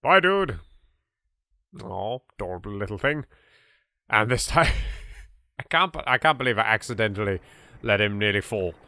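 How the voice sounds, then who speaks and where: English guy doing let's play, really animated and wry, bright voice, dry close mic. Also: fairly low pitch, normal speed, pauses often, no echo. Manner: theatrical, wry asides. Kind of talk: playful game commentary.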